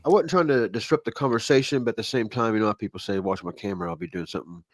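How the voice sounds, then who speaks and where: A man telling stories in casual chat, self-deprecating and halting, with many restarts and fillers. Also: on the low side, no room sound. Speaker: co-host.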